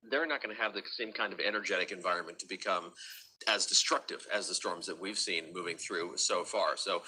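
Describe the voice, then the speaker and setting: Bright-voiced American weatherman, slightly low pitch. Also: a little hiss or hum, low-quality recording. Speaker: TV weather forecaster. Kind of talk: live severe weather commentary.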